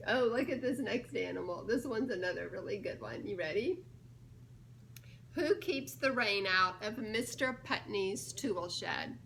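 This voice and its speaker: A woman reading a picture book aloud to young children in a playful, expressive way, pausing to ask guessing questions. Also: mid-range voice, vocal fry, a little hiss or hum, low-quality recording. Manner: playful, expressive, drawn-out delivery of key words with teasing pauses. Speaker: children's storybook reader and church children's ministries director.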